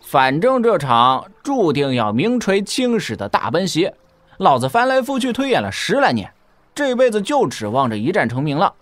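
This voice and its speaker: A brash, animated cavalry general. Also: male voice, high voice, moderate pace, dry recording, soft background music, heavily acted. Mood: indignant, fiery. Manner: swaggering, blunt, rough-spoken. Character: brash, cocky young-minded male cavalry general hungry for glory. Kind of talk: Chinese wuxia audio drama acting.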